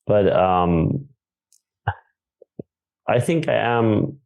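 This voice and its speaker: A man from Sweden, a film director in a stage Q&A, speaking in a thoughtful, halting way with many fillers and restarts. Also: fairly low pitch, animated, creaky, a little mellow, lo-fi sound. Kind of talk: Q&A conversation on stage. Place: theater.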